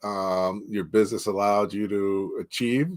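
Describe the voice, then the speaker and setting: A man's voice: lowish voice, unhurried. A man hosting an online interview, affirming the guest and putting questions to her with easy fillers. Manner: relaxed, affirming, many fillers. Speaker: interview host.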